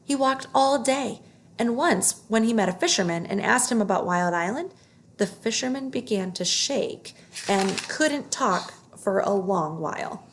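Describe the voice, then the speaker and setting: A woman narrates a children's book aloud. Her voice sits at a medium pitch with a noticeable creak. She reads expressively and takes care with the phrasing. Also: animated, measured pace, a little hiss or hum.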